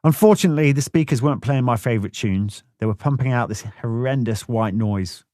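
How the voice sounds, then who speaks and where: Man, audiobook narrator, plain-spoken, swears freely, mid pitch, dry studio sound. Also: brisk, a little mellow, dry recording. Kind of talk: first-person memoir narration.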